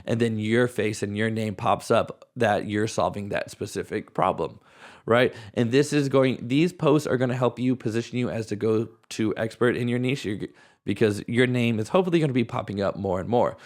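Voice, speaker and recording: A man with a raspy voice, hoarse from a cold, hosting a podcast at home in a casual, rambling way. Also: lowish voice, moderate pace. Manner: casual, rambling, thinks aloud with restarts. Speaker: podcast host. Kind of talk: solo podcast talk.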